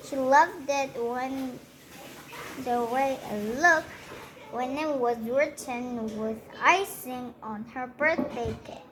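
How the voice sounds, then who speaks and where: High-voiced woman reading a story slowly. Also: fairly bright tone, a little hiss or hum, rough recording. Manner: deliberate, pausing between short phrases. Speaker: storyteller reading a picture book aloud. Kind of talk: read-aloud children's story.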